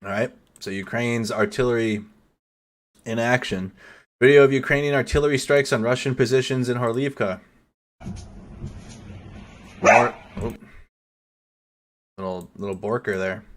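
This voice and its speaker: A man's voice: medium pitch, measured pace, long pauses. A man giving casual live commentary over war footage as a news streamer, swearing and stumbling over foreign names.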